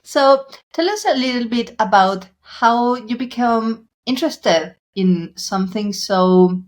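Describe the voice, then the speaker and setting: A woman hosting a podcast interview, speaking in a measured, soft-spoken way. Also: a little high, lively intonation. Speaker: podcast host.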